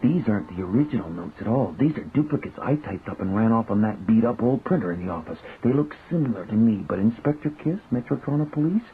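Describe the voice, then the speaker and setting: A man's voice: medium pitch, expressive, quick pace, few pauses, close to an everyday voice. A man playing a brooding, protective cab driver in a naturalistic radio drama, plain-spoken and controlled, with a Canadian accent. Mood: seething. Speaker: lead actor and narrator. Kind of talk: naturalistic radio drama with first-person narration. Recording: tinny, band-limited old radio recording, faint noise.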